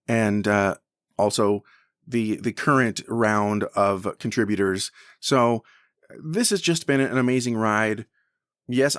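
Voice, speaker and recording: A man hosts a podcast in a conversational style, his voice sitting at an average pitch. He comes across as enthusiastic about what he presents. The sound is dry, with very little room on it. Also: dry recording. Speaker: podcast host.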